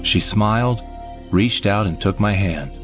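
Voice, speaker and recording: A man voicing a male sidekick who narrates his own space adventure in a measured storytelling way. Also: fairly low pitch, close to an everyday voice. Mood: wry. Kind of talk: scripted audio drama narration. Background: background music.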